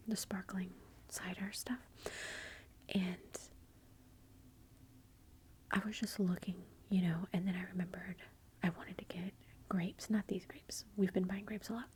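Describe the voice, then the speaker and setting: A woman's voice: mid-range voice, a little hiss or hum. A woman, whispering a rambling, amused story in ASMR style. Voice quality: soft whisper. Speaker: ASMR vlogger.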